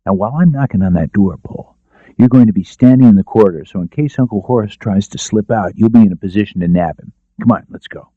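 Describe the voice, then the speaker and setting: A man's voice: on the low side, fast, no room sound, narrow, tinny audio, natural voice. Male reader as shrewd lawyer-detective, confident, clipped commands, audiobook dialogue, close dry sound. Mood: commanding.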